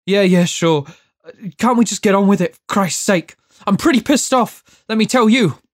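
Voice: mid-range voice, moderate pace, no room sound, studio quality, pitched above the performer's own voice, close to an everyday voice. A narrator playing a foul-mouthed, rattled man in a story, indignant and belligerent. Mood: impatient. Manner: indignant, sweary outbursts.

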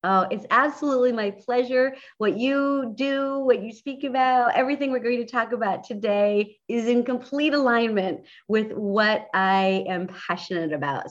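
Woman podcast host, eager and upbeat, recorded a little dull. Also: mid-range voice. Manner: upbeat, enthusiastic. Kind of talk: podcast interview.

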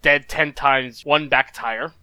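Bright, close-miked playful co-host. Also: medium pitch, fairly even intonation, slow pace, no room sound. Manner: playful, stumbling reading. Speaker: co-host reading posts. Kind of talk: casual comedic chat.

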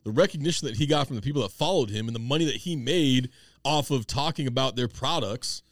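A man's voice: mid-range voice, quick pace, dry recording. A man co-hosting a podcast in casual, informal conversation. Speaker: podcast co-host.

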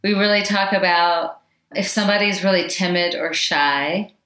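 Low-voiced, loud woman in an echoey room. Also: moderate pace. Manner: loud voice, chatty with many asides. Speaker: Chinese medicine teacher. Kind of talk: informal teaching talk.

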